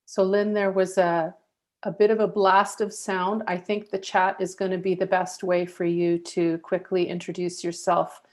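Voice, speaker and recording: A woman moderating an online panel, calling on speakers calmly and patiently over a video call. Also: lowish voice, normal speed. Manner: calm, patient, facilitating.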